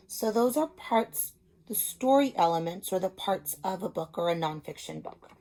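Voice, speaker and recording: A woman's voice: mid-range voice, animated, normal speed, warm tone, a little hiss or hum, rough recording. A woman speaking as a reading teacher, explaining simply and reading a story aloud to children, stressing key words.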